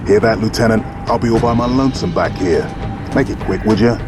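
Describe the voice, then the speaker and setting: Man playing battle-weary male supersoldier, deep, gruff, sardonic, few words, game dialogue, music behind. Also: fairly quick, lightly acted. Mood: wry, resigned. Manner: laconic, sardonic.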